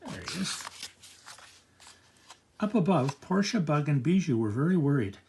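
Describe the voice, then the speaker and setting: An older man reading a picture book aloud to children as a grandfatherly storyteller, with expressive narration. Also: a little high, moderate pace, a little hiss or hum.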